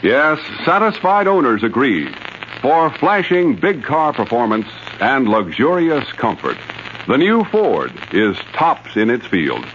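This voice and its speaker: A man's voice: mid-range voice, fairly bright tone. A man delivering a polished, emphatic 1950s radio advertisement as announcer. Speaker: commercial announcer. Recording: dull vintage radio recording, faint noise.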